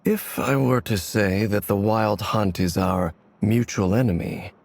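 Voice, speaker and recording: A man's voice: lowish voice, creaky, no room sound. A man voicing a grave, guarded male wanderer who speaks tersely and dryly, in video game dialogue. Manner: terse, dry, measured. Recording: game audio with soft music behind.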